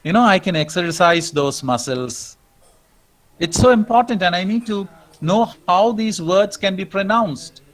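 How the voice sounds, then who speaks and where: A middle-aged man with an Indian English accent, giving a reflective lecture as a professor and pausing often on "um" and "uh". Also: high-pitched, expressive. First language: not English. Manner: reflective, with frequent "um" and "uh" pauses.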